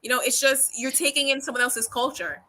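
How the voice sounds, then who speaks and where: A woman's voice: high-pitched, brisk, sharp tone. A woman co-hosting a casual podcast chat, upbeat, reading viewer messages aloud.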